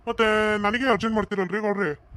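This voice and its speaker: A man's voice: high-pitched, fairly even intonation, fairly quick, fairly bright tone, dry recording. A man voicing a middle-aged village priest in a cartoon, speaking with a North Karnataka Kannada accent. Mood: explaining. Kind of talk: cartoon voice acting.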